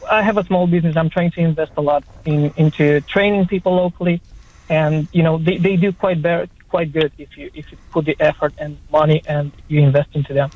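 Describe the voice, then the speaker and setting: A man's voice: a little high, fairly even intonation, brisk, dry recording. Romanian man on a tinny phone line. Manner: hesitant, repeats words, friendly. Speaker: caller. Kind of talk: phone-in call.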